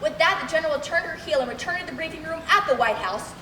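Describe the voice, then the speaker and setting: Young actress as earnest female news correspondent, comic radio play; very high, deliberate, dramatic, distant mic in a hall. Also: expressive, pushed and bright. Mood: earnest, improvising. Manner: dramatic, over-deliberate announcing. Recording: echoey hall, distant mic, faint noise.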